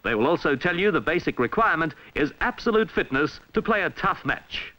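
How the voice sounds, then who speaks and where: A man with an Australian accent reading a scripted 1960s instructional film narration in a formal, measured, emphatic way, on a vintage film soundtrack. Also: a little high, very animated, bright, forceful tone, rough recording.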